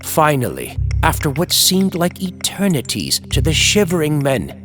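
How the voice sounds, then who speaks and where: A man narrating a scripted horror tale like an old-time radio host, theatrical, ominous and drawn-out. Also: a little high, wildly swooping pitch, a bit bright. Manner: ominous, drawn-out, dramatic delivery. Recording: music under the voice.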